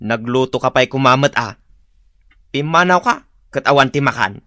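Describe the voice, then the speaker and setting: An actress playing a grumbling, sarcastic spouse who scolds in a village comedy radio drama. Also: female voice, very deep voice, lively intonation, moderate pace, a bit bright, no echo, studio quality. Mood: scolding.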